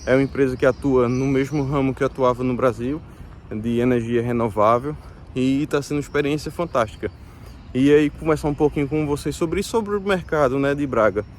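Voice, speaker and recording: A man's voice: medium pitch, brisk, a little mellow, slight background noise. A Brazilian man in his thirties with a Brazilian Portuguese accent, explaining things casually to viewers in a hesitant way, with many fillers and restarts. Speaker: vlogger.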